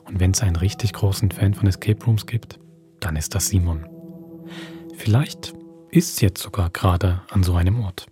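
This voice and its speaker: Male podcast host, low pitch, scripted atmospheric storytelling, clear music bed. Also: normal speed. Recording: music under the voice.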